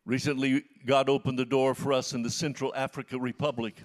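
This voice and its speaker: An American man in his seventies preaching in short, earnest phrases with emphatic repetition, pausing for an interpreter. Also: mid-range voice, little pitch movement. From United States. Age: 70s. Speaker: missionary preacher, guest speaker.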